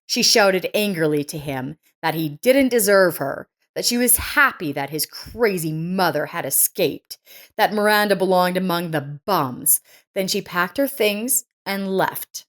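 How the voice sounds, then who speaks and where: A woman's voice: lowish voice, animated, a little slow. A woman reading a scripted life story as its narrator.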